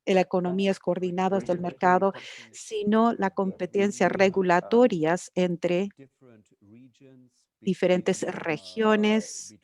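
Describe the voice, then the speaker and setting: A woman interpreting an academic lecture live, halting and correcting herself as she goes. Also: medium pitch, fairly even intonation, darkish tone. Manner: halting, with frequent restarts and self-corrections. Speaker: conference interpreter.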